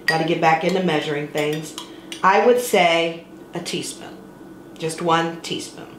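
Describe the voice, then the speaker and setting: A woman's voice: low-pitched, steady tone, measured pace, a little hiss or hum. A middle-aged woman hosting a home cooking show, chatting casually and playfully as she demonstrates a recipe. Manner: chatty and playful, sings words for fun. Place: home kitchen, indoors. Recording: echoey kitchen with clattering dishes.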